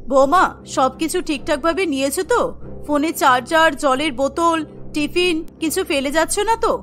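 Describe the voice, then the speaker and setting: An older cartoon mother-in-law, played by a woman, caring and fussy. Her bright, high voice is solicitous and pleading, with faint music behind it. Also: moderate pace. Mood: fussing.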